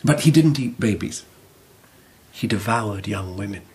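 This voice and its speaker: A playful, musing emperor. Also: male voice, lowish voice, lively intonation, measured pace, darkish tone. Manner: leisurely, musing, teasing. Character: Japanese emperor, playful, musing, fond of sake and mysteries. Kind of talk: radio drama acting.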